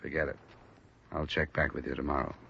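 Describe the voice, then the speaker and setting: A man's voice: a bass voice, flat delivery, a little fast, creaky, heavily acted. A man acting a tough but fair insurance investigator and narrator in a 1950s radio detective drama, terse and matter-of-fact with an American accent. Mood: firm, sympathetic. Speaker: lead actor and narrator. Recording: dull restored old radio recording, music.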